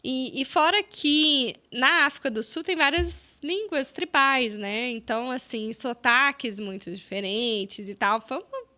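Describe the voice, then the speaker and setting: A woman with a Brazilian Portuguese accent, a podcast guest recounting her career in a lively, chatty way full of fillers and quoted self-talk. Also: high voice, unhurried. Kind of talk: casual storytelling interview.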